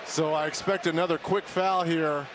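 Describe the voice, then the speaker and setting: A man's voice: fairly high pitch, fairly even intonation, moderate pace. A man giving live basketball color commentary in an explanatory, conversational way. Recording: loud arena noise behind commentator. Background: basketball game noise.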